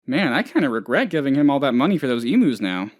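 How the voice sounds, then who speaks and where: An actor playing a naive, anxious, scatterbrained office boss who rambles and gets sidetracked, in a video game. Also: male voice, mid-range voice, sing-song, fast talker, close to an everyday voice. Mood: resigned, worried. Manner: rambling, absent-minded, easily sidetracked. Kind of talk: video game character voice lines.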